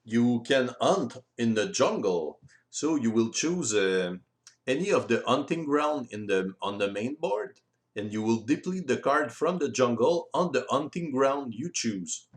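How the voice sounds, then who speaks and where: Guy explaining how a board game works, step by step, pitch bouncing around a lot. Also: expressive. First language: French. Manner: step-by-step, deliberate, simple phrasing. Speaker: board game rules presenter. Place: voice-over recorded separately from the video.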